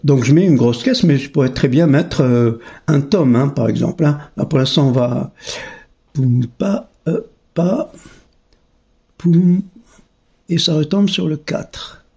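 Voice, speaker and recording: A man's voice: fairly high pitch, unhurried, gravelly, darkish tone. A man giving a casual, hands-on tutorial as a teacher, thinking aloud in a hesitant way as he goes. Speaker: music teacher, channel host. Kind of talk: casual spoken tutorial demonstration.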